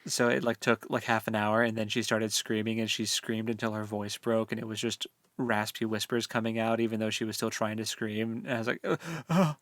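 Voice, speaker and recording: A man's voice: fairly low pitch, fairly even intonation, fairly quick, hardly any pauses, fairly bright tone, no room sound. Casual man with dry, close sound. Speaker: book discussion podcast co-host. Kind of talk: casual chat about a novel.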